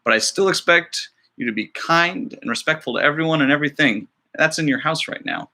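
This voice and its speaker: A man's voice: a little high, fairly quick, pushed and bright. A man, a school librarian, talking casually and encouragingly to young children as he introduces a story.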